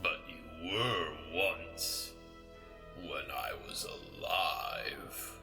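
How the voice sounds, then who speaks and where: A man voicing the ghost of a murdered king who taunts with slow, cold menace in an audio drama. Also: low voice, over music, full character voice. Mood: taunting.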